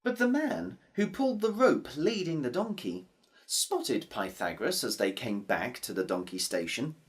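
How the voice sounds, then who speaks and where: Woman storyteller, low voice, very lively pitch, slow. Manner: expressive, playful, drawn-out repetitions. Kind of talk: storytelling for children.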